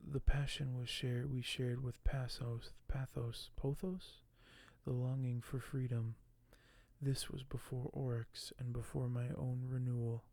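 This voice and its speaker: A man doing ASMR in a soft, hushed, often whispered voice, halting and unhurried as he shows items and reads aloud close to the microphone. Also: mid-range voice, little pitch movement, gravelly, dry recording. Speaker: ASMR video creator. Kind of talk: ASMR unboxing and reading aloud.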